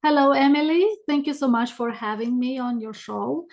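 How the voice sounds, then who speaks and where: A woman's voice: high-pitched, normal speed, darkish tone. A middle-aged woman with a thick Romanian accent, talking conversationally as a podcast guest. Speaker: podcast guest, business coach. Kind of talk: conversational interview storytelling.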